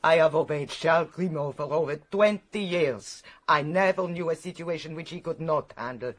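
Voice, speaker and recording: An actress playing a proud, secretive middle-aged French housekeeper in a radio detective drama, haughty, impatient and emotional. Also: female voice, sits low, normal speed. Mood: stiff, irritated.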